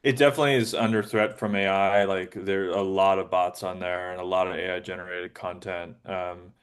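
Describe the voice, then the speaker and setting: A man answering as an interview guest, talking informally and haltingly with many filler words. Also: low-pitched, little pitch movement, clear, resonant tone. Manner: informal, halting, frequent "like" and "you know". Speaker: interview guest, app founder. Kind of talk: conversational interview.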